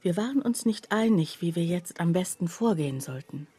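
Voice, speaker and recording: Radio play: reflective, loyal friend telling the story, soft dark husky tone, dry studio sound. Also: female voice, on the low side, lively intonation, normal speed, dry recording. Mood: confiding. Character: reflective woman narrator, loyal, envious friend of accused mother. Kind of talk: first-person radio play narration.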